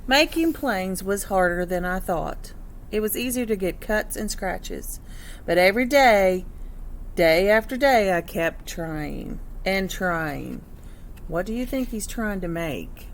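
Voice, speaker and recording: Lady teacher doing a kids storybook read-aloud, expressive, explains stuff to the little ones, medium pitch, bit of background noise. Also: takes it slowly. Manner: expressive, instructive, addressing young listeners with questions. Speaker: teacher reading a picture book aloud to children.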